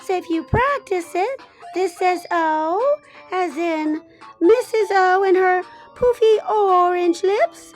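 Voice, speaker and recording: A woman's voice: very high-pitched, slow pace, faint music behind. A woman voicing a cheerful, chatty female teacher character who encourages young children through a phonics lesson. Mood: cheerful, encouraging.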